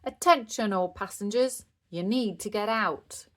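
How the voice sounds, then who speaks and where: A woman's voice: mid-range voice, measured pace, a bit bright, slight background noise, natural voice. A woman voicing a cheery little dog playing a bossy train driver in a storybook, announcing things in a matey way.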